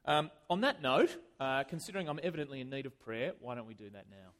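A man preaching a conversational sermon in a church, chatty and self-deprecating, with lively swings of emphasis. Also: mid-range voice, quick pace.

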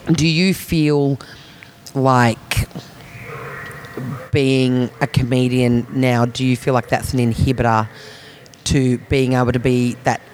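A man who is a comedian, talking on a podcast in a halting way, with many restarts and frequent laughs. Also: mid-range voice, fairly even intonation, a little hiss or hum. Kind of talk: podcast conversation.

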